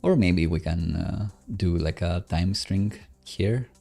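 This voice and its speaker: A man casually commentating as he codes live, musing and questioning himself in a halting way. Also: deep voice, creaky, dark tone, soft background music. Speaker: live-streaming programmer host. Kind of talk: casual live coding commentary, thinking aloud.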